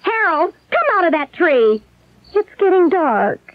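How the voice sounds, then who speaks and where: An actress playing a bossy, nagging wife in a comic radio commercial, imperious with every phrase. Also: female voice, very high-pitched, sing-song, unhurried, full character voice. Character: bossy, nagging wife ordering husband about. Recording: tinny old radio advert.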